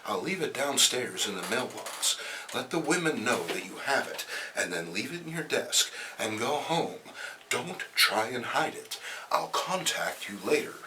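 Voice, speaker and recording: Man playing young superhero, bright voice, earnest, urgent, melodramatic, comic book character. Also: medium pitch, big pitch swings, unhurried, distant mic, a little hiss or hum, poor audio. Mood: hushed instructing.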